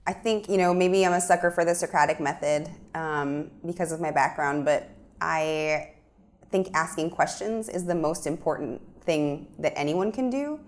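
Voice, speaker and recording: A woman with a notably low voice talks through her ideas in a studio interview. She is a young adult from Miami, earnest and reflective, and she often pauses on an um. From Miami, USA. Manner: thoughtful, earnest, with fillers. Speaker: filmmaker guest. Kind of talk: interview conversation.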